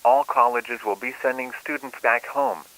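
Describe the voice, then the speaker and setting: A man's voice: mid-range voice, normal speed, gravelly, very noisy. A man playing a grim government emergency announcer, reading scripted warnings in a stern, official way over a radio. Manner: stern, flat, official delivery.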